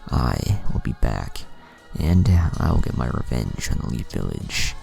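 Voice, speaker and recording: A man voicing an arrogant, vengeful masked warrior with a menacing, measured delivery in a story narration. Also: a bass voice, big pitch swings, creaky, darkish tone, soft background music, voice pushed down, heavily acted.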